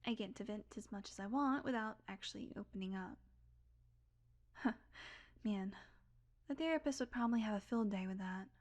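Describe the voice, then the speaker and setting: A woman's voice: mid-range voice, normal speed, long pauses, natural voice. A woman playing a sardonic, secretly tender long-lived vampire in an intimate audio roleplay, talking in a casual, wry, confiding way. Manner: casual, confiding, wry, swearing, drifting into whispers.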